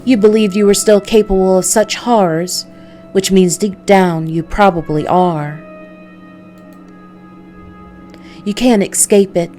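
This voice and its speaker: A woman's voice: medium pitch, steady tone, moderate pace, warm tone, soft background music, natural voice. A woman voicing a seductive, sly succubus from a visual novel, theatrical and taunting, with coolly menacing words. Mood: smug. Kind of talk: visual novel character read aloud.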